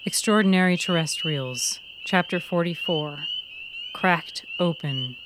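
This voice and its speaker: A woman reading a serial fiction story as its narrator, in measured, clearly phrased storytelling. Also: lowish voice, noisy background. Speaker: author and narrator of a fiction podcast. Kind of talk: scripted audiobook-style story narration.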